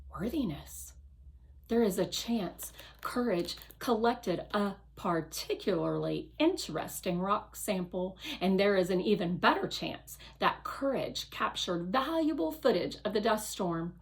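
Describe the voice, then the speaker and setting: Slow, careful woman reading a children's story. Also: medium pitch. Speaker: read-aloud narrator. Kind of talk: scripted children's novel narration.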